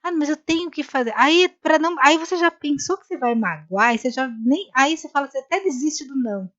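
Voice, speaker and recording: A woman's voice: high voice, animated, fairly quick, a bit bright, muffled recording. A woman co-hosting a live conversation, telling a story in a rambling way with many restarts. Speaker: co-host of a live talk. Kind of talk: casual chat.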